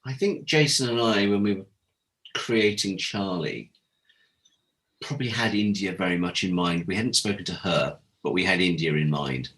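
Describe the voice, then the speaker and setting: Low-pitched British man telling anecdotes. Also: moderate pace. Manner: animated storyteller, acts out quoted dialogue. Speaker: interview guest, former audio drama producer. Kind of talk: casual anecdotal interview chat.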